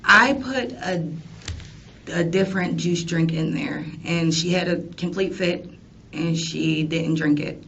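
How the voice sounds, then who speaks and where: A woman's voice: lowish voice, slow, fairly bright tone. A young woman answering police questions in a tentative, matter-of-fact way. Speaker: interviewee in a police interrogation. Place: indoor police interview room. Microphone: distant room microphone. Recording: distant, muffled, noisy interview recording.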